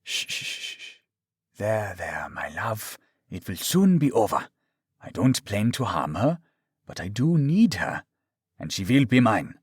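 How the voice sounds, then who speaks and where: A menacing doctor from an audiobook thriller, acted out by a man. He coaxes with smug calm and false tenderness, his pitch moving freely. The sound is dry and close. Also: a little slow, breathy edge, no room sound, exaggerated voice. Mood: mock tender. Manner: coaxing, mock-tender, threatening. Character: menacing, smugly calm male doctor villain.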